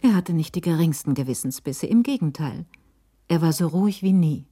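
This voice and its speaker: A woman narrating a scripted German radio crime drama in a measured, literary delivery. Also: sits low, animated, mellow, breathy edge.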